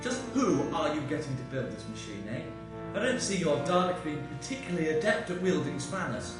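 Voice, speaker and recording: An actor playing a defiant, flippant alien time-traveller held prisoner in a sci-fi radio drama, speaking with theatrical sarcasm. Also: male voice, on the high side, big pitch swings, fairly quick. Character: the Doctor, defiant sardonic Time Lord prisoner. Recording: strongly echoey chamber, faint music.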